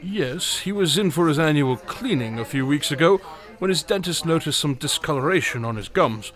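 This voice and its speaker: Radio play lead: a man plays a wry, self-assured detective with an animated delivery. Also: fairly high pitch, moderate pace. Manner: dry and teasing. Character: sly, confident male detective, dryly teasing.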